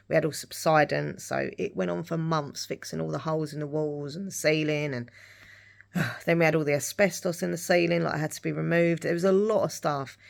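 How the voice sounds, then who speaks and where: Husky, creaky woman rambling casually. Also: deep voice. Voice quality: husky, dry throat. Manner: rambling, repeats herself. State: throat dry from hay fever, clearing it. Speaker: lifestyle vlogger. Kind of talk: casual rambling chat to camera.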